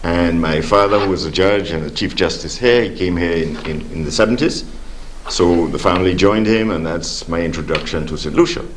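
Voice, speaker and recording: A man's voice: low-pitched, slight background noise. An older Caribbean man born in Grenada, a studio guest telling his life story in an easygoing way with many fillers. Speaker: studio guest, sports official. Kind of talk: relaxed interview answers.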